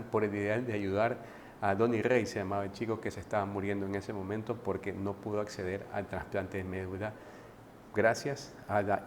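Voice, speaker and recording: A man giving a casual, conversational book commentary to his viewers, often pausing to restart and adding tag questions. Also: fairly low pitch, slight background noise. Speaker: book reviewer.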